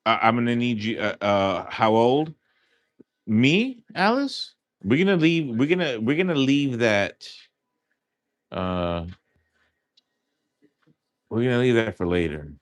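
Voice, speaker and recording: A man's voice: medium pitch, lively intonation, slow pace, long pauses, no room sound. A man in his thirties hosting a casual livestream, joking and rambling in slangy talk. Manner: joking, rambling, often says "you know what I'm saying". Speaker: livestream host.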